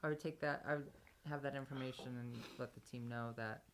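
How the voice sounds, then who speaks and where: A woman's voice: very deep voice, fairly even intonation, brisk, background noise. A woman playing a tabletop game, casually laying out what her character will do.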